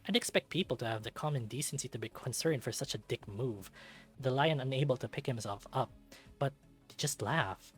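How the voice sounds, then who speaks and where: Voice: mid-range voice, normal speed, husky. A narrator reading a visual novel aloud in a dramatic storytelling style, with music behind. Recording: background music under the voice. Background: game music.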